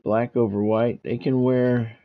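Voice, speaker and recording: A man's voice: medium pitch, little pitch movement, unhurried, narrow, tinny audio. A man streaming a sports video game, mixing casual chat to viewers with play-by-play commentary and repeating himself as he goes.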